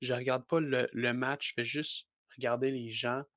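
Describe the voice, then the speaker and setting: Young guy, Québécois accent, podcast guest; stop-start delivery, monotone, dry. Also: medium pitch, moderate pace, no room sound. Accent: Québécois French. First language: French. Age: young adult. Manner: hesitant, many restarts and fillers. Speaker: wrestler guest. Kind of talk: casual podcast interview.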